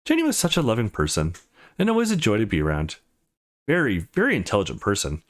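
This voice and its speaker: A man voicing a friendly, caring adult woman from a visual novel, chatty and gently concerned. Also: on the low side, wildly swooping pitch, fast. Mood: reminiscing.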